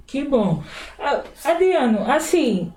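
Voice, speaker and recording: Lively high-voiced interviewer, echoey room. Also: female voice, takes it slowly, a little hiss or hum. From Brazil, Rio de Janeiro. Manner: expressive, animated storytelling. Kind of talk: conversational interview.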